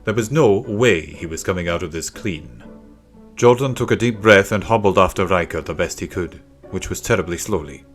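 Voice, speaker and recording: Low-voiced man narrating a children's story. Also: moderate pace, faint music behind. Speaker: audiobook narrator.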